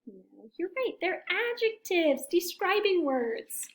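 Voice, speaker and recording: A woman teacher reading a picture book aloud to young children, expressive and asking questions to draw listeners in. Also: extremely high pitch, slow pace.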